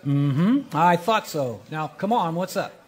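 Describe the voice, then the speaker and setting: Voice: medium pitch, lively intonation, takes it slowly. A performer voicing a folksy, nosy male grocer in a live recreation of a 1940s radio mystery, with a rural turn of speech.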